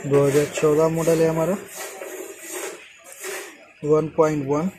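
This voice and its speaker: A young man talks through a car repair step by step as he works, with a slightly higher voice than most men. He is recorded close on a phone outdoors by the car. A faint hum of traffic and vehicles sits behind him. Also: flat delivery, takes it slowly. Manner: explains step by step while working. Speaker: car mechanic. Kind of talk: hands-on repair tutorial. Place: inside a car and at the open engine bay, outdoors.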